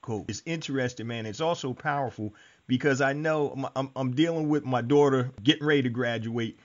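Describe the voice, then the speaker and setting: A man's voice: mid-range voice, a little fast, lo-fi sound. Easygoing middle-aged man hosting a podcast. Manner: easygoing, hypes up listeners. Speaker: podcast host. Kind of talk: podcast interview.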